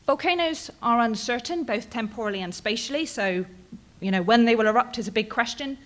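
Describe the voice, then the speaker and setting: A woman's voice: on the high side, animated, brisk, fairly bright tone. British woman giving a chatty conference talk. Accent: British English. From England, Bristol. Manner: casual and chatty, frequent ums and tag questions. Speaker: postdoctoral researcher presenting. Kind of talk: conference presentation.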